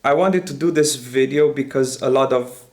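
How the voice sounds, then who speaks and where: A man hosting and explaining music production in casual talk, halting as he searches for words. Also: medium pitch, darkish tone.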